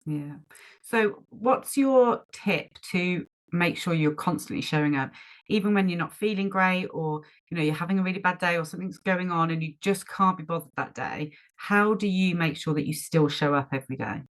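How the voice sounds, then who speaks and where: A woman with a notably low-pitched voice hosts a casual podcast conversation. She is chatty and agreeable, often nudging her guest with questions that invite agreement. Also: moderate pace. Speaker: podcast host. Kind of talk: casual interview talk.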